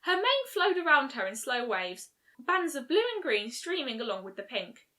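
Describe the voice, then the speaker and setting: A woman's voice: high voice, big pitch swings, normal speed, sharp tone. A woman reading a scripted story aloud as narrator. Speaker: fan fiction reader.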